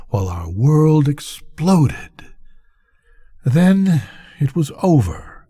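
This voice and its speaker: An older man reading a bedtime story aloud as a storyteller, with deliberate, dramatic phrasing. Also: medium pitch, dark tone, husky.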